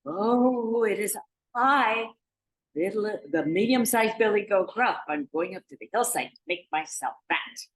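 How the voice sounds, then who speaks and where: A woman's voice: medium pitch, expressive, measured pace, voice pushed up, rough recording. A woman playing a medium-sized male billy goat in a storybook tale, polite and nervous, singsong and pleading.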